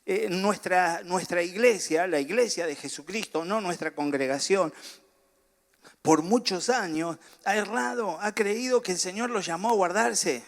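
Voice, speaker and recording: A middle-aged male pastor with a Rioplatense accent and a somewhat high voice. He talks to his congregation in a loose, joking way, then climbs into fervent preaching. A slight cough catches in his throat now and then. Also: a little slow. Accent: Rioplatense Spanish. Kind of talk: sermon.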